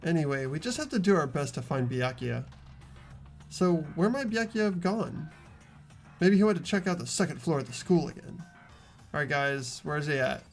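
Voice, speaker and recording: An expressive playthrough host narrating and reading out a visual novel game. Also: medium pitch, moderate pace, soft background music.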